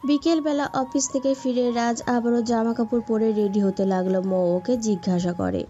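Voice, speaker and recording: A woman with a fairly high, mellow voice narrates a scripted love story. The recording is slightly dull, with a faint music bed behind her. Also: fairly even intonation, normal speed.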